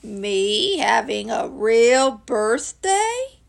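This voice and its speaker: Gloomy old male donkey, acted by a woman, dragging pace, creaky, deadpan, mournful, storybook character. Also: a little high, extremely slow, very noisy, exaggerated voice. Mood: slow, doubting.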